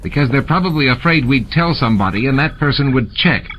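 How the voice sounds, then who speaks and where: A man voicing an excitable, scheming young alien boy in an audiobook, eager and emphatic. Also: mid-range voice, a little fast, voice pushed up, natural voice. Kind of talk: audiobook character voice. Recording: muffled, with clear background noise.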